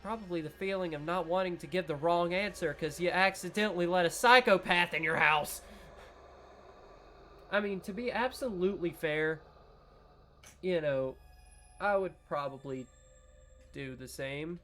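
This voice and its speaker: A man casually playing through a visual novel, reading lines aloud and cracking self-mocking jokes with swearing and sudden loud laughs. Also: high voice. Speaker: gaming YouTuber. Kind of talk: casual let's-play commentary with read-aloud narration.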